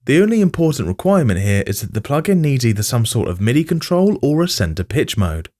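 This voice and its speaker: Man narrating a tutorial with lively pitch. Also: quick pace. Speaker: music production tutorial host.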